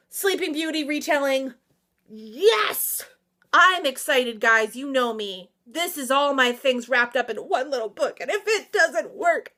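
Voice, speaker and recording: A woman chatting casually to viewers as a book reviewer, excitable, emphatic and slangy, with theatrical asides and exclamations. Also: sits high. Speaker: book reviewer and vlog host. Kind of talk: casual, opinionated book recommendation chat to viewers.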